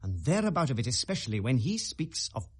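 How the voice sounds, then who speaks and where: A British actor playing a brooding, sardonic young prince feigning madness, theatrical and mercurial in a 1970s classical radio drama. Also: male voice, medium pitch, big pitch swings, a little fast, husky. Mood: reflective, declaiming. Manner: theatrical, mercurial, precisely declaimed.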